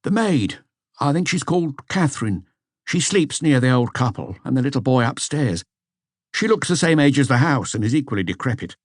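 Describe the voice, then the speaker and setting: A man's voice: medium pitch, very animated, moderate pace, dry recording, clean studio sound, voice pushed up. A man voicing a hesitant, deferential police inspector giving a report in an audiobook. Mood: matter-of-fact, hesitant. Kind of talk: audiobook character dialogue.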